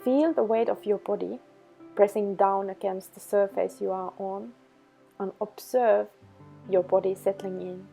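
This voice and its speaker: A woman leading a guided meditation, giving instructions in slow, measured phrases. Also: medium pitch, fairly even intonation, gravelly, warm tone. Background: background music.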